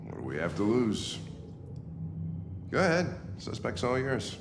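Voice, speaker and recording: An actor playing a gruff, impatient police lieutenant in a video game, blunt and brusque. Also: male voice, mid-range voice, wildly swooping pitch, a little slow, noisy background. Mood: resigned. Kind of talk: video game cinematic acting. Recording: echoey room, music underneath.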